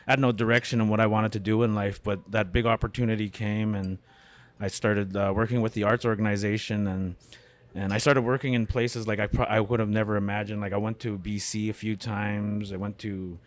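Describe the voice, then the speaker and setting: A man's voice: on the low side, flat delivery, a little fast. A middle-aged man from Canada, a comedian and beatmaker, chatting as a guest in a cafe with many fillers and restarts. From Canada, born in Winnipeg. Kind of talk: casual interview conversation.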